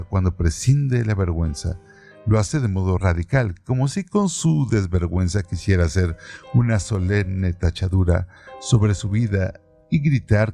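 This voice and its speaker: Male narrator, very low and gravelly, calm literary reading over soft music. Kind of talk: scripted audiobook narration.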